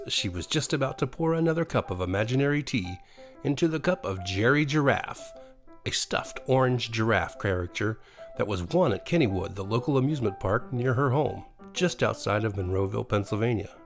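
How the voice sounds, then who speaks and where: Male horror narrator: dramatic bedtime-story read, lively intonation, music underneath. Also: lowish voice. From USA.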